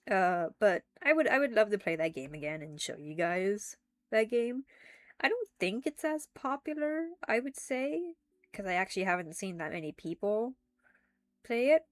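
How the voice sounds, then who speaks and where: A woman's voice: medium pitch, big pitch swings, moderate pace, dry recording. A young woman streaming live, talking casually and rambling with frequent restarts and giggles, a little congested.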